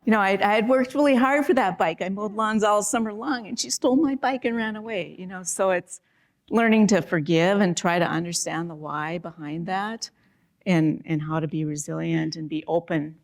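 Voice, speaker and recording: A woman's voice: medium pitch, moderate pace. A woman moderating a panel, speaking earnestly with frequent restarts and fillers. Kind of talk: reflective panel talk.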